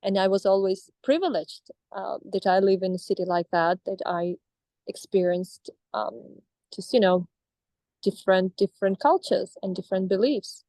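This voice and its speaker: A woman's voice: medium pitch, moderate pace, mellow, no echo. A young woman with a Russian accent, talking over a video call as a coaching client, hesitant with many fillers and restarts. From Astrakhan, Russia. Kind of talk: casual reflective talk.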